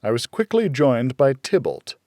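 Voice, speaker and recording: A man narrating a first-person thriller audiobook in a deliberate, brooding, dramatic storytelling manner. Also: mid-range voice, big pitch swings, darkish tone, dry recording, studio quality.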